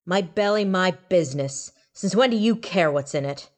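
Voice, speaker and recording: A woman's voice: lowish voice, lively intonation, normal speed, darkish tone, close to an everyday voice. A woman voicing a sneering undead female marsh ghoul with a crude, gurgling, mocking manner.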